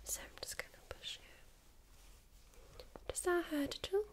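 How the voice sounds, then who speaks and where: A woman whispering softly in a gentle, reassuring ASMR medical roleplay, calmly talking a listener through a check-up step by step. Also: measured pace. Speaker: ASMR creator playing a first-aid medic.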